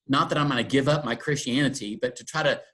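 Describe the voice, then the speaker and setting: A middle-aged man from Texas talking reflectively in a conversation, pausing often with ums and restarting his sentences. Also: mid-range voice, steady tone, fairly bright tone. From Texas, USA. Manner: thoughtful, many ums and restarts. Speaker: interfaith dialogue guest.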